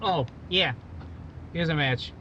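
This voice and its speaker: A man plays a wary parole-breaker with a highish, bright voice and short, offhand replies. The sound is dull and lo-fi, with faint music underneath like an old radio drama. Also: takes it slowly, a little hiss or hum. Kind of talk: 1940s radio drama acting.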